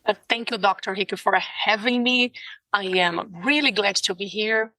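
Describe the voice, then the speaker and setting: A woman's voice: mid-range voice, moderate pace, pushed and bright, dry recording, poor audio. A middle-aged woman from Brazil with a Brazilian Portuguese accent, a nurse guest speaking earnestly in a podcast interview. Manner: earnest and heartfelt.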